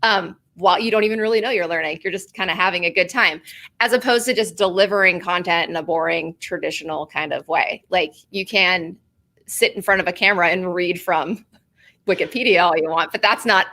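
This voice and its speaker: A woman's voice: on the low side, a little fast, pushed and bright. A woman in her thirties, a guest on an interview show, chatting casually and saying "like" a lot, laughing easily. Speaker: guest, video marketing expert.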